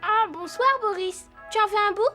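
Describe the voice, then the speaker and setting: A woman voicing a curious, friendly little girl in a French cartoon dub, chatty and bright. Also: very high voice, steady tone, normal speed. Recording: voice over loud music.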